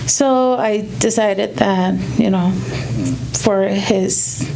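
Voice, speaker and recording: A woman's voice: medium pitch, extremely slow, vocal fry, noisy background. A woman in her thirties from Puerto Rico, a guest telling her life story in a casual, hesitant way with frequent laughs. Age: 30s. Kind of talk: casual interview, personal story.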